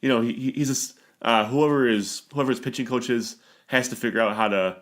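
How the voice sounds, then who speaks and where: Co-host, male, congested with a cold, quick rambling delivery, casual sports banter. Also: mid-range voice, fairly bright tone. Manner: rambling, repeats words, laughs often. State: stuffy nose from a cold. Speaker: podcast co-host.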